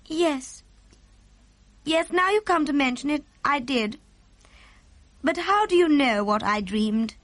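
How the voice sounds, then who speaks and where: A regal storybook Queen, played by a woman reader. Her voice sits high and she speaks in clipped, imperious phrases, sharp and commanding, yet poised. The sound is close and dry. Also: animated, takes it slowly, pauses often, no echo, full character voice. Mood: commanding, composed.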